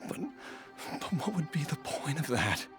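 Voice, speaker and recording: An actor playing a gravely ill, resigned husband and father in a video game drama, speaking earnestly and haltingly. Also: on the high side, big pitch swings, over music. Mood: desperate, earnest.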